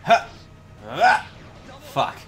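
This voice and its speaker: A man in casual, joking banter over gameplay, sweary and given to exclamations. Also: drawn-out words. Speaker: amateur voice actor in a game dub.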